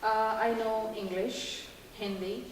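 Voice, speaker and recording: A woman's voice: a little high, steady tone, slow, a little hiss or hum. A woman giving polite, careful answers in simple phrasing in an interview. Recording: echoey hall.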